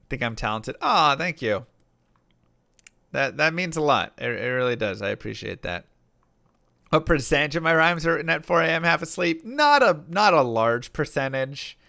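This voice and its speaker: Guy on a live stream reading chat and rambling, bright crisp voice, dry close mic. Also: mid-range voice, animated, moderate pace, no room sound. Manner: rambling, lots of fillers and restarts, reads chat messages aloud. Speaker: streamer and rapper.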